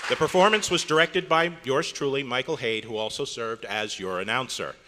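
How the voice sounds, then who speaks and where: A man's voice: mid-range voice, normal speed, a crowd in the background. Male announcer in a reverberant, noisy hall. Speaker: director and announcer. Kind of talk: formal radio-style credit announcing. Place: hotel convention room with live audience.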